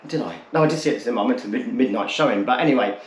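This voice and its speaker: A man's voice: mid-range voice, rapid-fire. A man, talking casually and candidly to camera as a vlogger, rambling a little and rephrasing himself as he goes. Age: late 40s. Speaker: vlogger introducing his channel. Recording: echoey untreated room.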